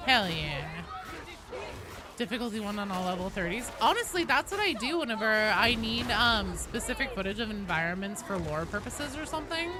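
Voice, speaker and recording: Animated woman streamer over noisy game audio. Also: mid-range voice. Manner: animated, sweary, friendly greetings to viewers. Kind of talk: casual livestream chat over gameplay. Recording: clear background game noise. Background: video game sounds.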